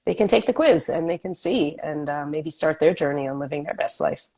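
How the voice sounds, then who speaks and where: A woman's voice: deep voice, animated, brisk, creaky, dark tone, slightly rough. A woman who is a health coach, a guest on an interview show, talking in an upbeat way while sniffling from a cold. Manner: upbeat, promotional. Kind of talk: casual interview chat.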